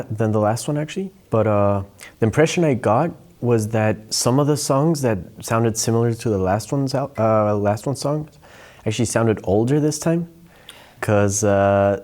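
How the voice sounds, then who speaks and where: Tentative, low-voiced young man. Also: a little mellow. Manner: tentative, self-correcting. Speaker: interviewee.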